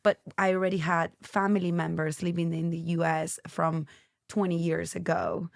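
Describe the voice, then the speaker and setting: A woman's voice: lowish voice, little pitch movement, moderate pace, creaky, dry recording, studio quality. A Venezuelan woman in her late twenties, a podcast guest with a Venezuelan accent, talking loosely and rambling with restarts and uneven English. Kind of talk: casual interview chat.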